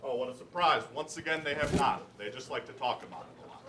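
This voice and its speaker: A man's voice: mid-range voice, expressive, quick pace. A young man reading scripted narration aloud as a narrator at a staged reading. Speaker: narrator of a readers theater event. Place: indoor college event space. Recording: echoey, noisy room, distant mic.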